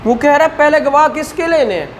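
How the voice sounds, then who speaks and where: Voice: sits high, expressive, brisk, slight background noise. A law lecturer with a Pakistani accent explains in a conversational, didactic way, repeating points and asking rhetorical questions.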